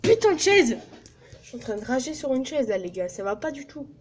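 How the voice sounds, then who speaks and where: A woman's voice: high-pitched, sing-song, fairly quick, a little mellow, slight background noise. A teenage girl vlogging casually from a small office, joking and swearing as she walks through her work placement. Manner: crude, joking, swears a lot. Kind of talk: casual vlog.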